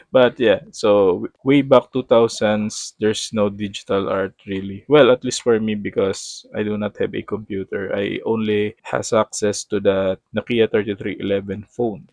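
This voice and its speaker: A man's voice: lowish voice, steady tone, moderate pace, a little mellow, no echo. Filipino man chatting over a cozy game. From Philippines. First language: Tagalog. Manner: rambling, self-correcting, searching for words. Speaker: gaming vlogger. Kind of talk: casual gameplay commentary.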